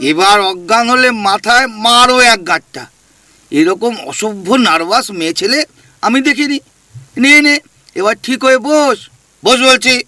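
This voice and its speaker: An actor playing a mischievous male ghost in an audio drama, mocking and bossy in a cheeky drawn-out way. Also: very high voice, bright, forceful tone, slight background noise, full character voice. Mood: teasing.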